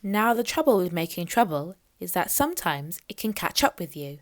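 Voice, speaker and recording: A woman reading a children's picture book aloud as an expressive storyteller. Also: lowish voice, normal speed, no room sound, clean studio sound.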